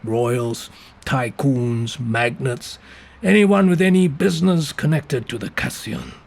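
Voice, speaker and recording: An actor playing a gruff, foul-mouthed police detective in an audio drama. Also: male voice, mid-range voice, expressive, slow pace. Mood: businesslike, weary.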